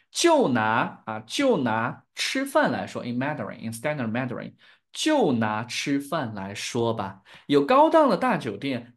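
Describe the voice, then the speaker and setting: Young male Mandarin teacher with a Chinese accent, bilingual, laughs easily, very expressive pitch. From Chengdu, Sichuan, China. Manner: animated, switches between English and Mandarin, laughs often. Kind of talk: live-streamed language lesson.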